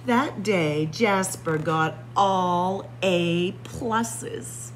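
A woman reading a picture book aloud in a dramatic, suspenseful story-time style, sometimes hushed for emphasis. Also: on the low side, very slow, a little hiss or hum. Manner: suspenseful, expressive, drops to hushed whispers for emphasis. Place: indoor library room with bookshelves and windows.

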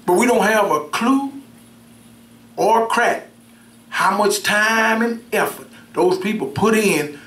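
A middle-aged minister preaching a sermon, with call-and-response, repeated words and swings between shouts and soft asides. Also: male voice, sits high, takes it slowly, long pauses. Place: church sanctuary, indoors, at a pulpit. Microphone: pulpit mic from a far camera. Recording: roomy church sound from far off.

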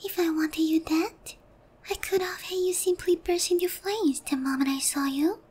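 Very high, whispery lonely witch girl, acted by a woman. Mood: hurt, pleading. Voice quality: soft, breathy, whispery. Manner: slow, gentle, coaxing. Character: lonely young witch girl, sweet, softly possessive. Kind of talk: ASMR roleplay.

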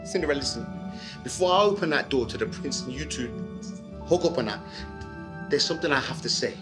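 A man's voice: mid-range voice, animated, a little fast, over music, lightly acted. A man playing an awkward, lovesick best friend in a comic pantomime, blurting out words with exasperation. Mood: anxious. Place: indoor room, video call.

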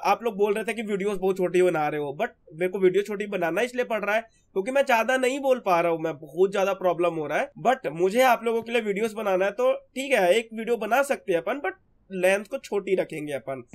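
Young guy with a high voice, throat's gone hoarse, chatty gameplay commentary, keeps calling everyone bro. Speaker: gaming channel host.

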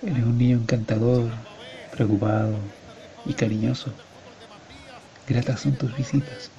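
Mellow Chilean father, played by a man, slightly dull sound. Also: mid-range voice, steady tone, a little slow, creaky. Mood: weary, intimate. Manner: colloquial, confessional. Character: conflicted divorced father confessing a secret affair. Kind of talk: radio drama monologue acting.

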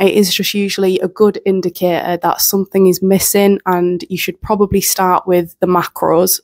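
Husky woman with a cold. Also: mid-range voice, a little mellow, no room sound. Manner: chatty and self-deprecating. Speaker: aquarium channel host. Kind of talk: explaining to the camera. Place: home room with planted aquariums. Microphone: handheld mic held close.

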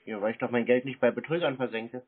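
A man talking curtly and teasingly on a phone call, deadpan and confrontational. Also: mid-range voice, fast, husky, soft background music. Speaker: scam-baiting call recipient, channel host. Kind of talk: phone conversation, curt and teasing. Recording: narrow-band phone call audio.

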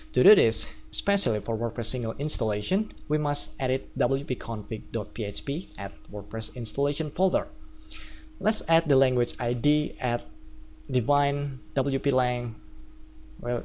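A man from Indonesia with an Indonesian accent, walking viewers through a software tutorial step by step. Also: medium pitch, a little hiss or hum. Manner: steady step-by-step explaining. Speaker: theme developer. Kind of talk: screencast tutorial.

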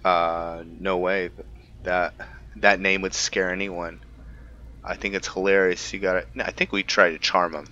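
A man's voice: sits low, level tone, a little slow, sharp tone. A man from the United States giving casual gameplay commentary as a let's play host. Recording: dull lo-fi mic, faint noise.

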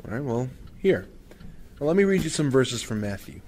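A man's voice: mid-range voice, big pitch swings, normal speed, darkish tone, lightly acted. Man acting as patient father, noisy background. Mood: gentle, earnest. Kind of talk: audio drama acting.

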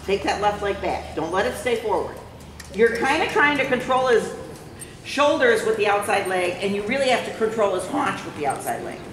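A woman's voice: medium pitch, animated, normal speed, distant mic. Woman riding coach in a very echoey arena. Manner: repeats short commands. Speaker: horse riding instructor. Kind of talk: live coaching, calling out instructions. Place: large indoor riding arena. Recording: very echoey, reverberant hall with faint noise.

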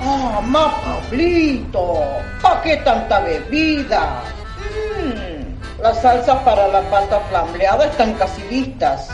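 A woman's voice: on the high side, very animated, slow. A woman with an Argentine accent playing a proud, bossy, bickering family mother in a radio play. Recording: echoey room, music behind.

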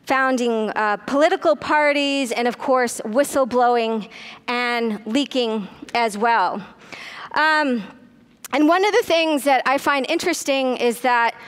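A middle-aged woman giving a conference keynote lecture on a hall stage, telling the story briskly with frequent ums and uhs. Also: sits high, fairly bright tone, clean tone. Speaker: keynote speaker.

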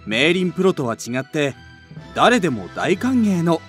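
A man's voice: a little high, sing-song, a little slow, soft background music. A man voicing a friendly, polite young guide, explaining things in an anime voice drama.

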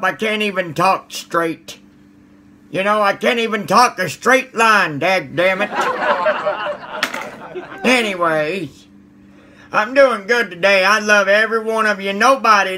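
A man's voice: sits high, noisy background. A man playing a cranky, folksy old man who stammers and mutters mild curses at his viewers. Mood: flustered. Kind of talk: comedic character monologue to viewers.

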